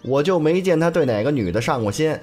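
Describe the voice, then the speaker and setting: A man's voice: fairly high pitch, lively intonation, fast, a little mellow, soft background music, lightly acted. A man acting a cheeky, gossipy young gang underling in an audio drama, chatty and teasing. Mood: playful.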